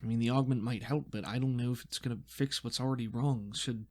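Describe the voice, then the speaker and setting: A man voicing an anxious woman in a tabletop game, hesitant, unsure and halting. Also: mid-range voice, fairly even intonation, a little mellow, natural voice. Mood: worried, hesitant.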